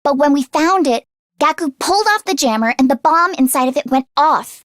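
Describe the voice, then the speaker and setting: A woman voicing an earnest, squeamish teenage schoolgirl mechanic in an anime game, expressive and quick to exclaim. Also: high-pitched, no echo, clean studio sound. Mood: earnest, explaining.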